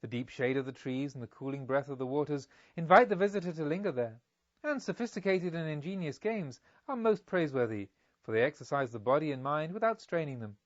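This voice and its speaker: A man's voice: fairly high pitch, lively intonation, a little fast, dry recording, muffled recording, natural voice. A man voicing a genial, pompous elderly merchant father in an audiobook, with effusive, leisurely speech. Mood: genial, probing. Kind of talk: audiobook character dialogue.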